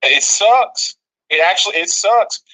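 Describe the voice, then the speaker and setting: A man's voice: high-pitched, expressive, measured pace, sharp tone, poor audio. A co-host from Maryland debating sports, teasing and tripping over his words.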